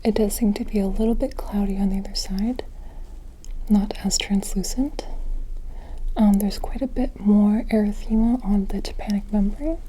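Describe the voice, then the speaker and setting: A woman whispering softly and breathily in an ASMR medical roleplay, gently and carefully narrating an examination like a clinician. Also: medium pitch, steady tone, moderate pace, a little hiss or hum, poor audio.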